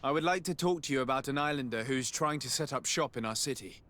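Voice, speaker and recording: A man plays a polite young noble diplomat from a video game. His mid-pitched voice is measured and formal, with a resolute courtesy. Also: pushed and bright, a little hiss or hum, close to an everyday voice. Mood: courteous, composed. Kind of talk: video game cutscene acting.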